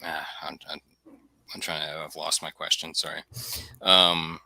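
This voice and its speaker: A man's voice: a bass voice, level tone, a little slow, creaky, bright, forceful tone, no echo. A man from the United States hosting an interview on a video call, asking rambling questions and apologizing as he goes. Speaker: host.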